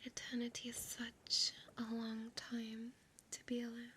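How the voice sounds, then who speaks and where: A woman's voice: a little high, completely flat delivery, sharp tone, slight background noise. Woman playing a whispering, possessive female vampire. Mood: tender, coaxing. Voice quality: breathy whisper. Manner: slow, intimate, coaxing, formal old-fashioned phrasing. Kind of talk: ASMR audio roleplay.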